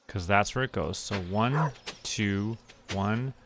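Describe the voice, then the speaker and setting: A young man streaming a relaxed game, talking to himself and counting under his breath as he plans. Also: on the low side, takes it slowly, background noise. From Canada. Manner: muttering, counting to himself while planning. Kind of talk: relaxed gameplay commentary.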